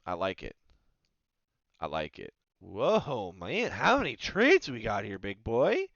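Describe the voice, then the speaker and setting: A man hosting his own sports video, reading mock draft picks aloud and reacting to them in casual, animated commentary. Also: lowish voice, no echo, lo-fi sound. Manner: animated, reads passages aloud then reacts with quick asides.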